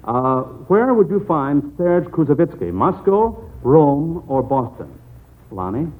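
A man's voice: on the high side, big pitch swings, takes it slowly. A man hosting a 1940s radio quiz as substitute quizmaster, chatting with children in a folksy, chummy way. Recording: tinny, noisy old radio broadcast.

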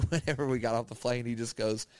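A man's voice: medium pitch, steady tone, brisk, a little mellow, no echo. Rambling, laughing man telling stories. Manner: rambling, laughs often, acts out quoted people. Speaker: podcast co-host. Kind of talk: casual storytelling chat.

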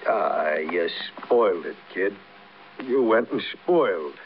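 An actor playing a big, childish, bragging hoodlum who is wounded and dying, in an old radio crime drama. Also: male voice, deep voice, animated, slow pace, full character voice. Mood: bitter, fading. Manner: taunting, rambling, repeats himself. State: wounded, labored speech. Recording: muffled, noisy vintage radio recording.

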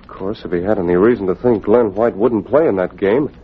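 An actor playing a shrewd, composed boss in an old radio drama, reasoning with measured authority. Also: male voice, lowish voice, husky. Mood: thoughtful. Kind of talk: 1940s radio drama acting. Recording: dull old radio recording.

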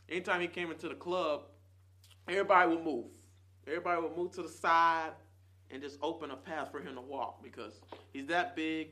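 A man in his twenties talking casually and earnestly to his viewers, rambling and swinging between loud bursts and quiet trailing asides. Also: fairly high pitch. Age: 20s. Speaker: vlogger. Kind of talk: casual chat to camera.

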